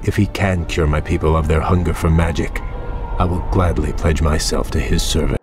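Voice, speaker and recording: An actor playing a proud, earnest young elf prince in a video game, speaking with formal nobility. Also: male voice, rumbling low, steady tone, normal speed, darkish tone. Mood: uneasy, earnest. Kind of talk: dramatic video game acting. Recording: music behind the voice.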